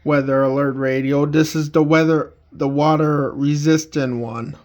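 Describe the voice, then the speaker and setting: A man giving a casual, unscripted home video tour as a hobbyist, speaking plainly and haltingly with pauses mid-sentence. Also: on the high side, steady tone. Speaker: hobbyist vlogger showing a collection. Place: indoors at home, walking between rooms.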